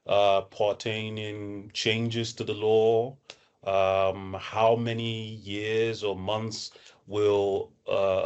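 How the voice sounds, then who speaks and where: A man hosting a podcast, putting questions to a guest in a measured, deliberate way. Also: lowish voice. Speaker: podcast interviewer. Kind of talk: interview questions.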